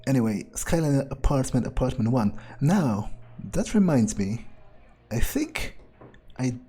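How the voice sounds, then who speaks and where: Slow, husky man thinking aloud. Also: medium pitch, very animated, warm tone. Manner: hesitant, rambling, nonchalant, casual swearing. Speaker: let's player. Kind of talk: in-character gameplay commentary, thinking aloud.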